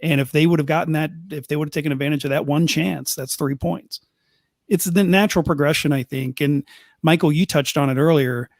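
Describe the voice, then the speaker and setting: Man, fairly high voice, dry sound, talk-show soccer analysis, quick bursts. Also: darkish tone, dry recording. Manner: quick bursts, repeats words as he builds his point. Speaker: soccer podcast co-host. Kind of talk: casual analytical chat.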